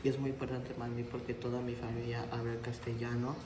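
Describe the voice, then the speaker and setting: A teenage boy from Bolivia, giving a short school statement in a monotone. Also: medium pitch, fast talker. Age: teens. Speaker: student. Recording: echoey hall with clear noise.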